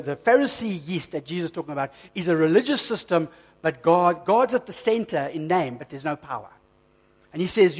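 A man preaching a sermon, emphatic and repetitive, slowing down on key phrases, a bit hoarse from flu. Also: high voice. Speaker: pastor.

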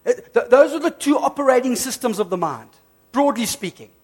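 A man preaching a sermon, emphatic and repetitive, slowing down on key phrases, a bit hoarse from flu. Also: extremely high pitch, expressive. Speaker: pastor.

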